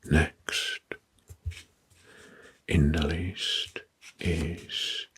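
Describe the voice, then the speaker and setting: A man narrating history as ASMR in a breathy, hushed whisper, deliberate and gently repetitive. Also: very deep voice, flat delivery. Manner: slow, deliberate, often repeating names and phrases softly.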